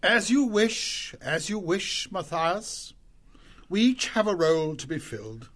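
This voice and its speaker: A man's voice: high voice, big pitch swings, a little slow, a bit bright, pitched above the performer's own voice, exaggerated voice. A man playing a stern, haughty male owl knight in a storybook, with a pompous, declamatory manner. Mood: huffy resignation.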